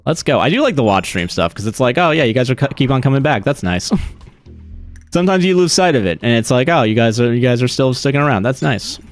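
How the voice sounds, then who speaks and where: A man's voice: mid-range voice, animated, quick pace, faint music behind. A man streaming a game, chatting casually with his viewers, swearing freely and laughing often. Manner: profane, laughs often, chatty asides to chat. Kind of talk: casual live-stream chat while gaming.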